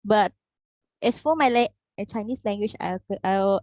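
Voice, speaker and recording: A woman's voice: mid-range voice, measured pace, darkish tone, dry recording. Malaysian woman panelist, chatty with fillers, dry muffled call sound. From Malaysia (Sarawak). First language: Kayan. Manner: chatty, many "you know" and "uh" fillers. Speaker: guest speaker. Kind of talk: casual panel answer.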